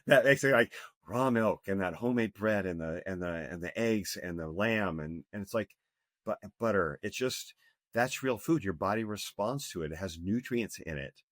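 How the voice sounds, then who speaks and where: Fifty-something male health coach, low and earnest, emphatic delivery, dry close mic. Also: a bit bright, no room sound. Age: 50s. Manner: earnest, emphatic, with many restarts. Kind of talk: podcast interview.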